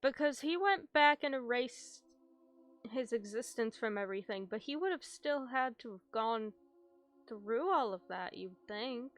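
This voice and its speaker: A woman livestreamer reacting casually to a game, speaking in a halting, emotional way. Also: a little high, lively intonation. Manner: halting, emotional, swears freely. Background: game music.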